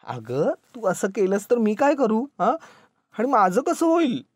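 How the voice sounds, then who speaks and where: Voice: medium pitch, sing-song, normal speed, a little mellow, no room sound, studio quality. A narrator acting meek, flustered, hesitant young husband. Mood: anxious, pleading. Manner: hesitant, faltering, placating.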